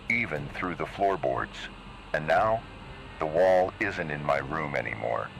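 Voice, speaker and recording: Guy doing a super deep gravelly analog horror warning narrator, grave n measured, noisy tape sound. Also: fairly bright tone, heavily acted. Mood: ominous. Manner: ominous, measured, deliberate phrasing. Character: grave male narrator of eerie warning tapes.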